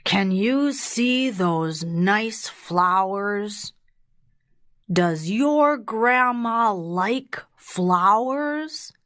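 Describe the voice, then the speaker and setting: A sly, hungry male wolf from a children's storybook, acted out by a woman. The delivery is very slow and coaxing, with breathy scheming and lively swings in pitch. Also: no room sound, studio quality.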